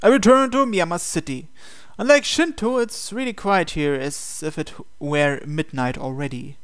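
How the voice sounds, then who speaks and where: Higher-pitched man reading a visual novel. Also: normal speed, fairly bright tone, clear, resonant tone. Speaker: host reading a game aloud. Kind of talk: visual novel narration with casual asides.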